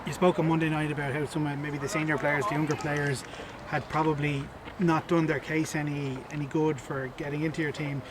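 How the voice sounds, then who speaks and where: A man's voice: fairly high pitch, flat delivery, fairly quick, hardly any pauses. A man, a club interviewer putting prepared questions to the manager. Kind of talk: post-match interview questions. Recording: loud crowd noise behind.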